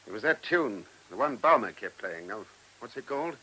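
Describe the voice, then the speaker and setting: A chief inspector in a radio drama. His voice is husky and lively, moving freely in pitch, with a dry, testy, sardonic edge as he works a murder case. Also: normal speed, exaggerated voice, rough recording. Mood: thoughtful, businesslike. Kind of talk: radio play acting.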